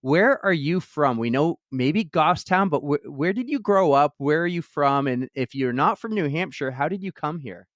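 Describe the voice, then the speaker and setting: Male podcast host, slightly high voice, dry close mic, friendly rapid questions. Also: lively intonation, a bit bright, no room sound. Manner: friendly, asks several questions in a row. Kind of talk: interviewing a guest.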